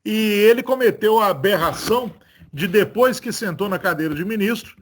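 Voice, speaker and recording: A man's voice: high-pitched, moderate pace, a little hiss or hum. A man with a Brazilian Portuguese accent hosting a political commentary talk, earnest and argumentative as he builds his points. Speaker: host of political commentary show.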